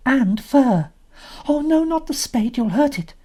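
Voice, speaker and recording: A woman voicing an excitable, stubborn young girl in a storybook reading, with a breathless high squeal. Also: measured pace. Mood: urgent.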